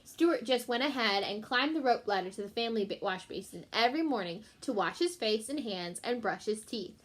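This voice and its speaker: A woman teacher reading a children's book aloud in an expressive way, speaking directly to young listeners. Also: fairly high pitch. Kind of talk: children's book read-aloud with short explanatory asides.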